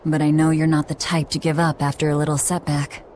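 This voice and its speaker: A woman's voice: low-pitched, little pitch movement, fairly quick, a little mellow, slight background noise, lightly acted. A woman playing a hard-edged, sardonic young female fighter, blunt and dismissive, in an anime-style game cutscene. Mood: wry. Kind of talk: English-dubbed anime game cutscene acting.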